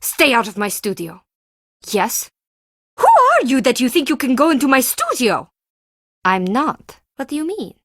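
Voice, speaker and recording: Volatile female artist, adventure game. Dramatic, emphatic, wide swings of pitch, studio-dry. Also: wildly swooping pitch, unhurried, fairly bright tone, dry recording, heavily acted. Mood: bitter, snapping. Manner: dramatic, emphatic, abrupt shifts. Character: intense, volatile woman artist with theatrical temper.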